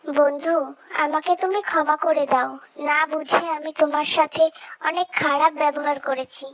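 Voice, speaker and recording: A woman's voice: high voice, steady tone, normal speed, fairly bright tone. A woman voicing a small, earnest talking bird in a cartoon fable, with a plaintive delivery. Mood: earnest, apologetic. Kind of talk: scripted cartoon story acting.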